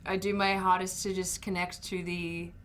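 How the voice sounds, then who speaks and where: A woman with a slightly low voice talks in a roundtable interview. She speaks softly and thoughtfully, pausing and restarting as she thinks. Her tone stays casual. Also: little pitch movement, slight background noise. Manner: thoughtful, soft, hesitant. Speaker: actor guest.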